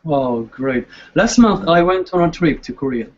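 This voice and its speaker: Higher-voiced male host, muffled recording. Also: expressive, moderate pace. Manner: brief backchannels, laughs often. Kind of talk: casual chat. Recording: dull lo-fi sound with faint noise.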